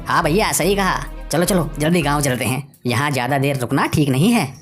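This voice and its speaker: A woman voices a brash male sidekick, a farmhand turned petty thief in a cartoon. The voice is slightly low and lively, with music underneath. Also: fairly quick. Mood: eager.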